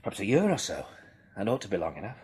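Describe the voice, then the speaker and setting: A man's voice: on the low side, wildly swooping pitch, very fast, a little mellow. An actor playing a pragmatic, sober English man in a radio drama, with an English accent and a dry, understated manner. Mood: practical. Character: pragmatic Englishman, survivor of a catastrophe, sober and curious.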